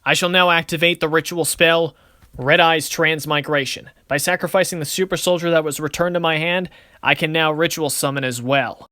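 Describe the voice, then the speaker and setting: A man's voice: fairly high pitch, fairly even intonation, normal speed, bright, forceful tone, no room sound, studio quality. A man voicing a confident, cocky but good-natured young card duelist in casual, swaggering banter for an amateur anime-style series. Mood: battle-ready, confident.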